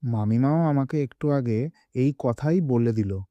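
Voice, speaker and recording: A mellow, hushed young man played by an actor confides cautiously to his friends. The audio drama voice is close and dry. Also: mid-range voice, measured pace, no echo, dull audio, close to an everyday voice.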